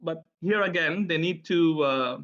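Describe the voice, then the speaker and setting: A man's voice: a little high, measured pace, fairly bright tone. A man from India, presenting a tech session in a friendly, chatty way with frequent filler sounds. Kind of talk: conference presentation. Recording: dull, lo-fi online audio.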